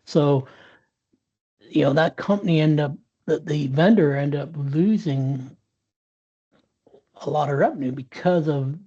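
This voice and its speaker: A man with a dark, husky voice tells a candid story as an interview guest, stumbling and restarting as he goes. The webinar audio sounds dull and lo-fi. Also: on the high side, a little slow, long pauses. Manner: frequent restarts and fillers, earnest. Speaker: interview guest, sales professional. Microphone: video call.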